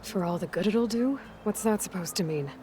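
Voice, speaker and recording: Female game lead played by an actress: young outlander, medium pitch, straightforward, wry, naturalistic, light score behind. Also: a little fast, over music, lightly acted. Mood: pressing. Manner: direct, probing, dry. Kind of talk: naturalistic video game cutscene acting.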